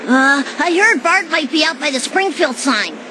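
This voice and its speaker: A woman's voice: high voice, expressive, moderate pace, rough recording. A woman voicing a nerdy, lovesick young boy, hesitant and awkward, in a cartoon game. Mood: awkward, hopeful. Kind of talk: animated game cutscene dialogue. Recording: loud noise behind the voice.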